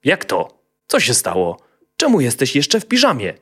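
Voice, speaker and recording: A man voicing a muscular, deliberate dwarf father, often exasperated, in a children's fairy tale. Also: medium pitch, wildly swooping pitch, bright, forceful tone, husky, pitched above the performer's own voice, heavily acted. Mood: impatient.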